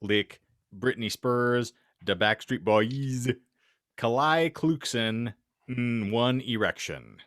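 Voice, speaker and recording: A man hosting a podcast, wryly bantering and reading fan fiction aloud with halting, mock-serious delivery. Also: medium pitch, fairly bright tone, no echo, clean studio sound. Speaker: podcast host.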